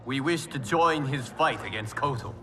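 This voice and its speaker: An actor playing a stern, demanding warrior in a video game, with a terse, formal delivery. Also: male voice, mid-range voice, moderate pace, a bit bright, close to an everyday voice. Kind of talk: dramatic video game acting. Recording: long reverb, music behind.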